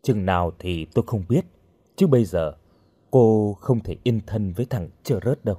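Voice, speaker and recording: A man voicing a cold, taciturn henchman with a hidden kindness, speaking in a gruff, curt way. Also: fairly low pitch, expressive, moderate pace, dark tone. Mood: resigned, stern.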